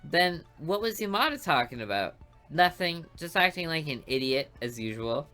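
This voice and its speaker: A woman's voice: deep voice, very animated, normal speed, pushed and bright, faint music behind. A woman reading a visual novel aloud for a let's play, with playful asides between the lines.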